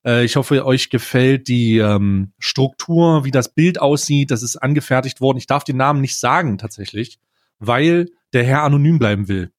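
A man's voice: medium pitch, normal speed. A man hosting a casual comedic podcast, talking in an informal, jokey, slangy way. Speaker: podcast host.

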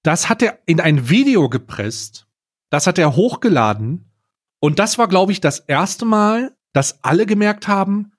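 A man with a slightly high-pitched voice chats as a podcast co-host. He rambles through stories in a casual, mocking, comedic way. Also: sing-song, measured pace.

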